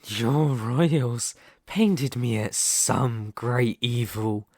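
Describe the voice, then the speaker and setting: A man voicing a dominant, mocking demon lord in an ASMR roleplay, with condescending, theatrical, drawn-out delivery. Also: medium pitch, a little mellow, no room sound, studio quality. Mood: dismissive. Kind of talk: ASMR roleplay, scripted villain monologue.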